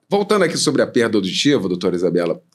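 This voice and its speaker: A middle-aged man with a Brazilian Portuguese accent, hosting a casual interview, chatty and quick with short remarks of agreement. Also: on the low side, sing-song. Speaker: podcast host.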